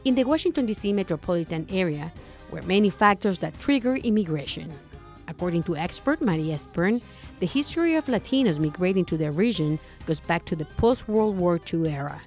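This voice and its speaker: A woman's voice: lowish voice, expressive, fairly quick, darkish tone, soft background music. A woman narrating a news report, reading evenly from a script.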